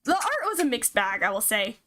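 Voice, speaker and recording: A woman streamer reading a horror visual novel aloud and reacting to it in an expressive, casually sweary way. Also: a little high, brisk, slight background noise. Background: game music.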